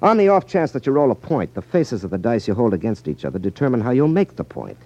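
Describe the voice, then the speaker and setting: An actor playing a cocky, wisecracking gambler with an American accent, glib and mock-innocent, in a 1950s radio drama. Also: male voice, mid-range voice, very animated, quick pace, mellow. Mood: cool, knowing. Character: cocky, wisecracking sleight-of-hand gambler, breezy and unflappable. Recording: dull 1950s radio recording.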